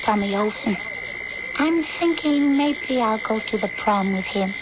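An actress playing a devoted, needy young daughter in a radio drama, earnest, dreamy and intimate. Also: female voice, on the high side, expressive, measured pace. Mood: playful. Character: devoted, needy schoolgirl daughter narrating her memories. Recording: noisy, dull old-time radio recording.

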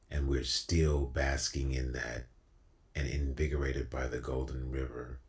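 A man's voice: rumbling low, warm tone. A man speaking as an inspirational spoken-word storyteller, earnest, spiritual and encouraging in his delivery. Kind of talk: reflective inspirational talk and spoken-word poetry.

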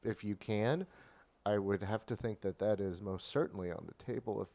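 A man's voice: lowish voice, moderate pace, mellow. A man co-hosting a casual podcast chat who tosses in wry quips.

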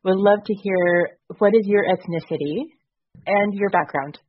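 A woman's voice: medium pitch, measured pace, breathy edge. A woman hosting a podcast interview who leads with brief, encouraging prompts. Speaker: podcast host. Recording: dull lo-fi audio.

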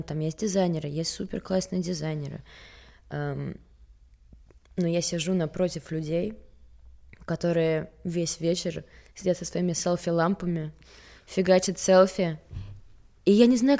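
A woman's voice: fairly low pitch, creaky, dark tone. A young woman from Sweden, a model and interview guest, talking candidly and self-mockingly in a casual rambling way. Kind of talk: casual chat.

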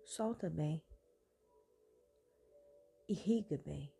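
Brazilian woman, meditation guide, very unhurried, creaky voice, calm short instructions, long pauses. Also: low voice. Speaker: guided meditation podcast host. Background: meditation music.

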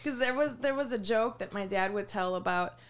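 A woman's voice: mid-range voice, a little fast. A woman co-hosting a podcast, chatting casually, teasing and laughing often. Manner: giggly, teasing. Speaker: podcast co-host.

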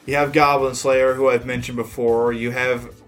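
A man's voice: mid-range voice, little pitch movement, normal speed, a bit bright, faint music behind. A man co-hosting a podcast, chatting casually and retelling things in a rambling, slangy way. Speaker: podcast co-host. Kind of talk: casual chat.

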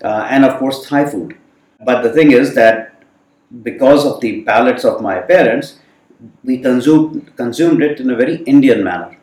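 A man's voice: mid-range voice, moderate pace, a little mellow. Man recalling his youth, echoey and distant. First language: Hindi. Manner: thoughtful, many fillers. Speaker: guest. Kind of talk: reflective storytelling in conversation. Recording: roomy sound from a distant mic.

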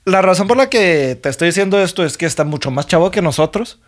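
A man's voice: high-pitched, animated, fast. A young Mexican man co-hosting a podcast, chatting casually in a laid-back, jokey way with lots of slang and frequent laughter. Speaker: podcast co-host. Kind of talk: casual chat.

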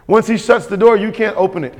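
A man's voice: high voice, fast talker. Preaching man in a reverberant church. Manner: emphatic, repetitive preaching with call-and-response. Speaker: pastor. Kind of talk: sermon. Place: church sanctuary. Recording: long hall reverb.

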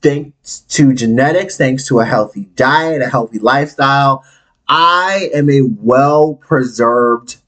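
A man in his late thirties hosting a solo podcast, talking bluntly and candidly with frequent profanity and animated swings in his delivery. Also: poor audio. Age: 30s. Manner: candid, animated, big swings in pitch and volume. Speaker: podcast host.

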